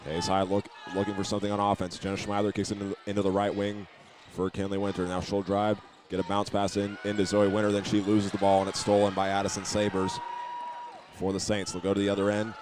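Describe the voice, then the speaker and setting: A man's voice: lowish voice, completely flat delivery, fast. A man doing live play-by-play commentary of a basketball game, matter-of-fact, sometimes stumbling and repeating words. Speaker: play-by-play announcer. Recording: noisy gym ambience behind the voice.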